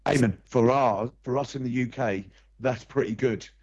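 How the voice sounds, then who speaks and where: An English man speaking as a podcast guest in a relaxed, hesitant way with frequent fillers. His voice sits at a middle pitch for a man. The audio sounds slightly dull and muffled. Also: moderate pace, breathy edge. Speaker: guest co-host. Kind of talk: casual podcast chat.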